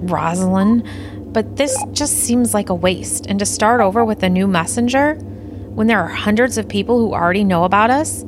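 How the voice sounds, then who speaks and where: A coolly ambitious alien officer, played by a woman, in an audio drama with music behind her. She is middling in pitch and officious, laying things out in a dry, practical tone. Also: moderate pace, natural voice. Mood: dry, exasperated. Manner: matter-of-fact, dry, officious. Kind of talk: audio play acting.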